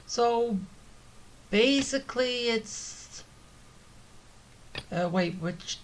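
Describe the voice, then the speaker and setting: A game streamer thinks out loud in casual commentary. The voice has a medium pitch and stumbles hesitantly over repeated words. Faint noise and clicks are in the recording. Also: extremely slow. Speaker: gaming streamer, player.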